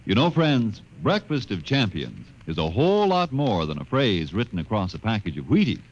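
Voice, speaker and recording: A man delivering a folksy, emphatic commercial pitch as an old-time radio announcer. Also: huge pitch swings, normal speed. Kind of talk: 1950s radio advertising pitch. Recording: dull vintage radio recording.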